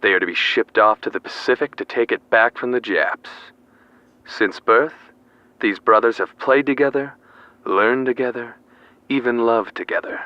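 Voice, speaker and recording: A young man playing a grave, ominous narrator of a 1940s radio play, portentous and measured. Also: medium pitch, sharp tone, no echo, close to an everyday voice. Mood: grave storytelling. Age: young adult. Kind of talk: dramatic scripted narration. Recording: dull radio-style audio, faint noise.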